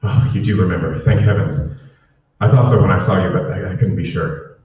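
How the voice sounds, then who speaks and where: A man's voice: deep voice, fairly quick, a little hiss or hum, close to an everyday voice. A man playing a furtive, anxious caller warning a friend in an old-time radio drama. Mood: relieved, secretive. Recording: echoey hall, distant mic, dull.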